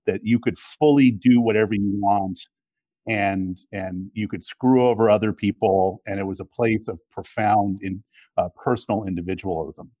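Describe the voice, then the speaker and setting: A middle-aged man speaking as an interview guest, discussing politics reflectively in a halting, thoughtful way with frequent repetitions. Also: on the low side, steady tone, dark tone, no echo. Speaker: interview guest, media executive.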